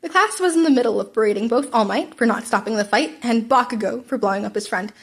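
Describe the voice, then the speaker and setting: A woman's voice: fairly high pitch, quick pace. A woman narrating fan fiction as an amateur audiobook, now and then stumbling and rereading a word.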